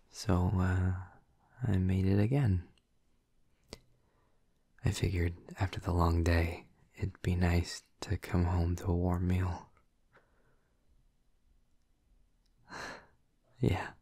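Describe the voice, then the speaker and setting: Low, breathy, gentle vampire boyfriend, acted by a man. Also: no room sound, clean studio sound, exaggerated voice. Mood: shy, caring. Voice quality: breathy, husky, often near a whisper. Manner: gentle, hesitant, softly attentive. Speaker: audio roleplay performer. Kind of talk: intimate audio roleplay, ASMR-like.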